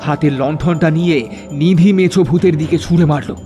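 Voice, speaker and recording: High-voiced man narrating horror. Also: moderate pace, dark tone, faint music behind. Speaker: storyteller. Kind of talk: dramatic scripted horror narration.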